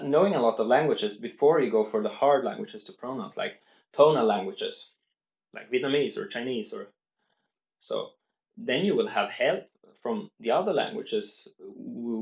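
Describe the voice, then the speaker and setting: A man's voice: on the low side, gravelly, dull audio. Earnest man in an echoey room. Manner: earnest, explains step by step with many restarts. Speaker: language-learning vlogger. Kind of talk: unscripted talk to camera.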